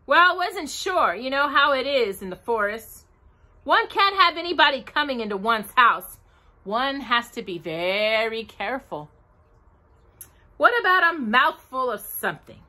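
A woman's voice: high-pitched, sing-song, a little slow, sharp tone, pitched above the performer's own voice, full character voice. A woman voicing a fussy, careful, polite male rabbit in a storybook reading, prim and proper.

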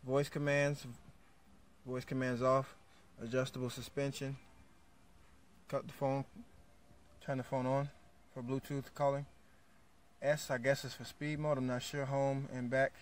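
Man touring a car, flat-voiced. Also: mid-range voice, slight background noise. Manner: flat, unhurried, reads specs aloud with fillers. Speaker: car vlogger. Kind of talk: casual walkaround commentary. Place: car showroom, indoors.

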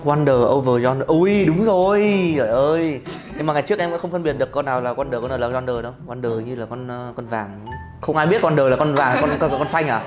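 A man's voice: mid-range voice, fast talker. A man, bantering casually as a quiz contestant. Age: 20s. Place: indoor studio, seated at table. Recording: roomy echo, music underneath.

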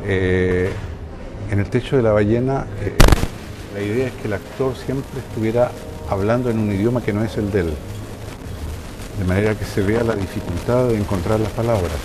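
An older man from Chile with a Chilean accent, answering interview questions in a hesitant way and often pausing to search for words. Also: lowish voice, music in the background, poor audio. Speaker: interviewee, filmmaker. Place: outdoor balcony above a city. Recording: outdoor city noise behind.

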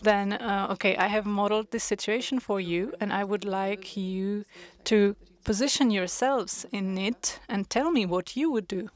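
A woman interpreting a panel discussion into English, measured and pausing with frequent hesitations. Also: medium pitch. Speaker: conference interpreter.

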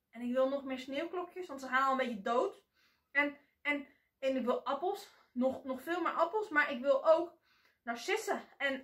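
A woman voicing a greedy, demanding spoiled girl who stammers out loud, whiny demands, in an echoey room. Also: sits high, voice pushed up, heavily acted. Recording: echoey room, voice a bit distant.

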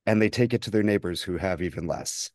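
A man's voice: low voice, brisk, a little mellow, no room sound, clean studio sound. A man speaking as a podcast guest, reflective and earnest, repeating words as he thinks. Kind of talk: reflective personal interview talk.

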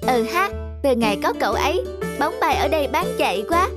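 A woman's voice: sits high, animated, a little fast, loud music behind the voice. A woman voicing a chatty, gossipy little shop toy in a cartoon, knowing and pitying. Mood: curious, pitying. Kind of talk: cartoon dialogue.

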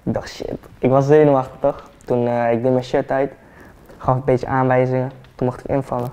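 An eighteen-year-old man, a football player, chatting relaxed and hesitant with many fillers while getting a haircut in a barbershop. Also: mid-range voice, brisk, clear, resonant tone. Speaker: football player, interview guest. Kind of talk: casual interview chat. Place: barbershop, indoors, seated in barber chair.

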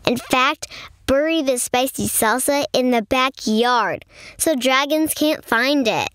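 A woman reading a picture book aloud to young children in an expressive storytelling manner. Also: high voice, slow pace, clear, resonant tone, no room sound. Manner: expressive, addressing young listeners. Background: music.

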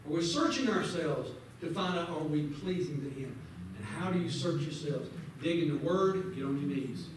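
Fervent high-voiced man teaching in an echoey church. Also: lively intonation, normal speed. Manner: fervent, quotes scripture, urges listeners directly. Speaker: Sunday school teacher. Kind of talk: preaching Bible lesson. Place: large church sanctuary, indoors. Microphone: far camera at back of pews. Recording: echoey sanctuary from far off, faint noise.

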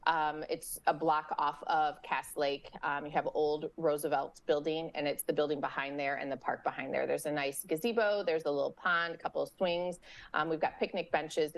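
A middle-aged woman with a low voice speaks over a video call from a room at home. She talks in a friendly, easygoing way, often stopping with fillers and restarting. The sound comes through a laptop webcam mic. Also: vocal fry. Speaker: parks and recreation organizer. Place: home room with window blinds.

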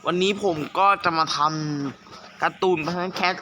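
A man's voice: high-pitched, level tone, slow pace, background noise. A man hosting a gaming channel, chatting informally to viewers as he introduces a fan-dubbed cartoon.

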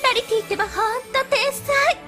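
A woman's voice: very high-pitched, normal speed, music in the background. A woman voicing a refined, theatrical, fashion-loving female unicorn pony in a cartoon dub, with gushing ladylike speech.

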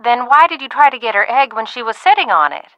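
A woman playing a fussy, genteel ranch aunt in a video game, sweetly reproachful with drawn-out endearments. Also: on the high side, sing-song, no room sound, dull audio. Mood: disappointed, scolding. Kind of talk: game dialogue acting.

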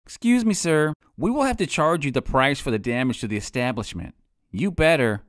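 A man's voice: medium pitch, lively intonation, a little fast, no room sound, natural voice. A man playing a polite, businesslike employee of an establishment in a cartoon comic dub. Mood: firm, formal. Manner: formal, businesslike. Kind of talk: fan comic dub acting.

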